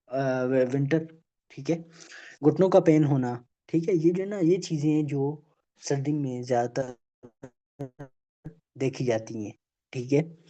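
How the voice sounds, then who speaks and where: A man giving an online health awareness lecture, halting and full of filler phrases, checking in with "okay?" after almost every point. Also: a little high, mellow. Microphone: video call. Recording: dull lo-fi video call audio.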